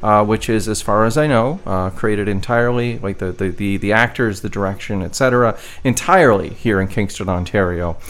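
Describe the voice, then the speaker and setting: A man co-hosting a podcast in casual, humorous chat, halting and playful. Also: lowish voice, a bit bright. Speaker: podcast co-host.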